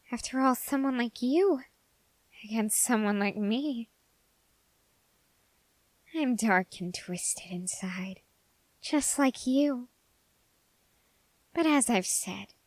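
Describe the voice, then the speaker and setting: A woman's voice: mid-range voice, dry recording. Woman voicing a silky, menacing, obsessive young man. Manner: deliberate, halting phrasing with dramatic pauses; silky, formal menace, slipping into whispers. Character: dark, obsessive young man begging a demon for power. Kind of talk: ASMR roleplay monologue.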